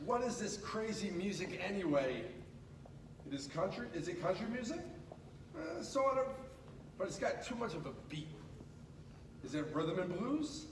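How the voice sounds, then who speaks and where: A man reading a children's picture book aloud in an animated, dramatic way, pausing and stretching words for emphasis. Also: high-pitched. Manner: dramatic, with pauses and drawn-out emphasis. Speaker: radio host reading a storybook aloud. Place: echoey room at a school. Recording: echoey room, distant mic, clear background noise.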